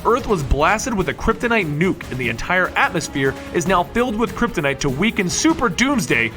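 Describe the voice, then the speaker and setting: Animated, high-pitched male story narrator. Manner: dramatic, emphatic delivery with rhetorical questions. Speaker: comic book story narrator.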